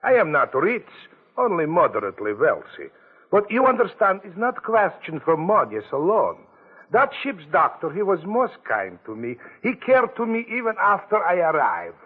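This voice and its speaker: An actor playing a heavy foreign man in a plaster cast, speaking in broken, halting English in a 1940s radio play. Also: sits high, sing-song, breathy edge, exaggerated voice. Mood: pleading. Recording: tinny old radio broadcast.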